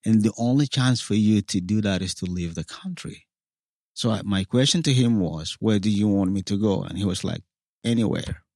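A man's voice: fairly low pitch, normal speed, darkish tone, dry recording, studio quality. A man in his forties from South Sudan, a guest in an interview, telling his own story earnestly and acting out what others said to him. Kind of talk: personal testimony in interview.

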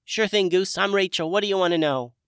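A man doing a squeaky tiny wood sprite. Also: fairly quick, clean tone, dry recording, studio quality. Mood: chirpy. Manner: chirpy, lively, high-pitched.

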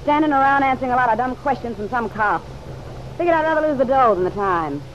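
A woman's voice: high-pitched, animated, fast talker. A muffled vintage radio recording with faint noise carries a hard-boiled American woman. She is defiant and sardonic, snapping out curt slang in classic radio drama style. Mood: defiant, cutting. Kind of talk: old-time radio drama acting.

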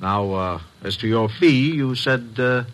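An actor playing a formal, guarded, well-to-do middle-aged gentleman in a radio drama, courtly and emphatic. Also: medium pitch, expressive, measured pace. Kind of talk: old-time radio drama acting.

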